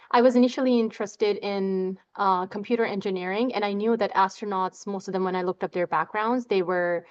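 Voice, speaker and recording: Interview guest: young woman from pakistan, halting delivery, smooth tone, muffled lo-fi sound. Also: mid-range voice. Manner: halting, many fillers and repeated words. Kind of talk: personal story in an interview.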